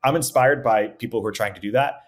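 A man hosting a conversational podcast interview, with a halting delivery that repeats words as thoughts form. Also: mid-range voice.

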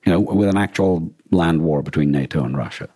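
Deep, dark-voiced man talking earnestly. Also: brisk, slightly rough, no room sound, clean studio sound, faint music behind. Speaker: podcast co-host. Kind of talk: reflective conversational discussion.

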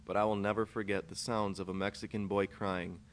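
A man's voice: fairly low pitch, flat delivery, a little fast, slight background noise. A man reading his own first-person short story as scripted narration.

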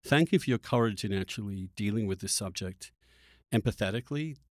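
A man's voice: fairly low pitch, lively intonation, brisk, no echo. Articulate male podcast host, dry close sound. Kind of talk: conversational podcast interview.

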